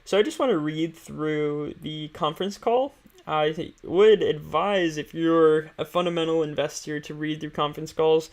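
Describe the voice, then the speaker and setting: Casual, slightly high-pitched man explaining, creaky edges. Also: moderate pace, few pauses. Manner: informal, hesitant, thinking aloud. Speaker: host of an investing stream. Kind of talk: casual unscripted explanation of company finances.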